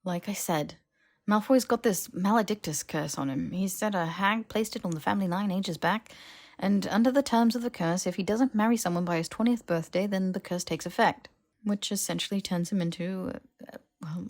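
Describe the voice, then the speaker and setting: A woman voicing a stubbornly earnest young man in an audiobook, wry, halting and self-justifying. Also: mid-range voice, darkish tone, close to an everyday voice. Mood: wry explaining. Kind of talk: dramatized audiobook dialogue.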